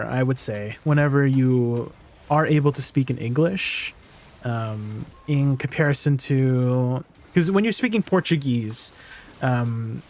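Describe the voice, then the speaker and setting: A man's voice: medium pitch, darkish tone. A man live-streaming, chatting casually with viewers and thinking aloud with lots of fillers and restarts.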